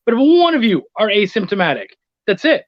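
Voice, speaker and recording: A middle-aged man telling anecdotes in a casual interview, blunt and quick to swear. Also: high-pitched, very animated, no echo, highs cut off. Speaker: interview guest, freelance writer.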